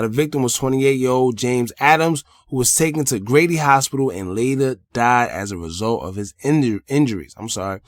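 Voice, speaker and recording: A man's voice: mid-range voice, no echo, clean studio sound. A man with an American accent recaps news as an online host, talking colloquially and directly to viewers with his own blunt opinions. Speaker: host of a hip-hop news commentary channel. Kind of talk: news recap with casual personal commentary.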